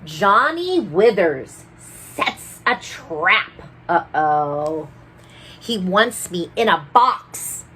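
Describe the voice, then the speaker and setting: Lady playing a cheeky boastful tooth fairy telling a rhyming kids story, slowish, lowish voice, bit of background noise. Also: big pitch swings, sharp tone, heavily acted. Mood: mischievous. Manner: mischievous, theatrical. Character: sneaky, gleeful, boastful female tooth fairy narrating in rhyme. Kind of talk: rhyming storybook read-aloud.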